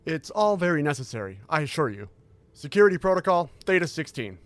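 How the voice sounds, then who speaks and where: A man playing a tall, secretive military scientist who speaks in a formal, measured briefing manner in an audio drama. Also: a little high, big pitch swings, dry recording. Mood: guarded, polite.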